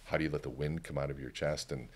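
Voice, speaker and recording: A middle-aged man, a guest speaker coach on a podcast, talking in a practiced, calm baritone with deliberate pauses, recorded on a studio microphone. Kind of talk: conversational podcast interview. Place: podcast studio with curtained backdrop. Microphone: studio microphone on a stand, headphones on.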